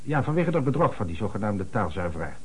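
An actor playing an agitated, self-important small-town notary on the telephone in a Dutch radio play, flustered and stammering. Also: male voice, lowish voice, sing-song, fast talker, a little mellow, slight background noise. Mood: indignant.

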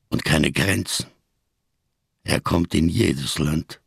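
A deep, gravelly giant of a man, a tattered survivor living in darkness. He declaims slowly with solemn, bitter irony, in the manner of a radio crime play. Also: a bit bright, no room sound, clean studio sound, exaggerated voice. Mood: sardonic. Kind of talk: German radio crime drama acting.

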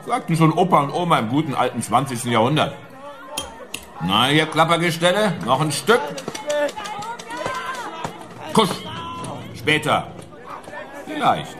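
An actor playing a huge, brutish thug in a radio drama, jeering and condescending, with a cocky manner. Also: male voice, fairly high pitch, sharp tone. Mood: cruel glee. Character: huge, brutish, gloating male thug posing as art doctor.

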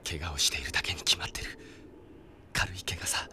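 An actor playing an earnest, polite young man grieving for a comrade in an anime audio drama, soft and pleading, dropping toward whispers. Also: extremely low pitch, steady tone, fairly quick, full character voice. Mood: grieving, disbelieving.

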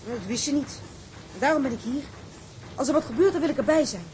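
Vastberaden hoge vrouwenstem, gespeeld door een actrice. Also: female voice, high voice, fairly quick, a little mellow, a little hiss or hum, close to an everyday voice. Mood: worried, determined. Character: bold, stubborn, watchful seafaring wife on a tugboat. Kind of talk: Dutch period drama acting.

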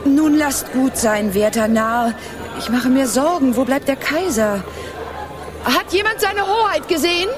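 A woman's voice: sits high, animated, a little slow. An actress playing a worried, imperious middle-aged noblewoman in a radio play, formal and commanding. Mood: worried, suspicious. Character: worried, imperious noblewoman, mother of young emperor. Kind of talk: German radio play acting. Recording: music and crowd behind.

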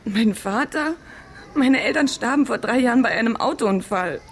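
A woman's voice: a little high, lively intonation, normal speed, music in the background. An actress playing an anxious, trusting young woman in love in a radio drama, hesitant, earnest and tearful.

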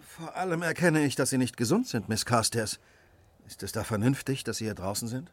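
An actor playing a keen gentleman detective who questions people precisely in a radio drama. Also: mid-range voice, animated, a little fast, natural voice. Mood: brisk, keen.

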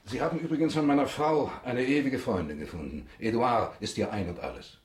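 An actor playing a grave, formal middle-aged prosecutor in a German radio drama, speaking in a measured, husky voice. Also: male voice, medium pitch. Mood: solemn, formal.

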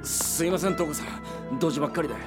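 A man's voice: on the high side, lightly acted. An actor playing a brash young police detective who barks at his subordinates in a radio drama. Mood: respectful. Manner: blustery and quick to yell at subordinates. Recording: studio radio drama with faint music.

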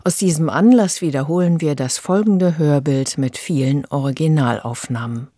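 A woman's voice: low-pitched, normal speed, mellow. A woman presenting a scripted introduction on the radio.

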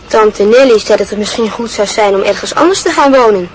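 A man's voice: sits high, lively intonation, a little fast, a little hiss or hum, rough recording. An actor playing a shy, dutiful teenage boy who answers his mother meekly, in a radio drama. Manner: meek, short replies. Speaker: son in radio play.

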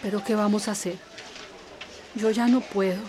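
An actress playing a weary, worried older guardian in a radio drama, plaintive and full of sighing exclamations. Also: female voice, medium pitch, fairly even intonation, moderate pace, dark tone, a little hiss or hum, natural voice.

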